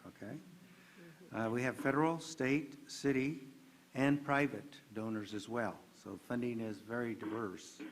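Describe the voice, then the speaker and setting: A man moderating a panel discussion, relaxed, passing on questions and reading out answers. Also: medium pitch, measured pace, slight background noise, low-quality recording.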